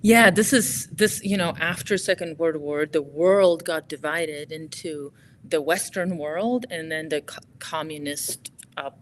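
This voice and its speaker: A woman, a climber and author telling her story as an interview guest, reflective and soft-spoken, trailing off at the ends of phrases. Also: low voice, unhurried, a little hiss or hum. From Poland. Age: 40s.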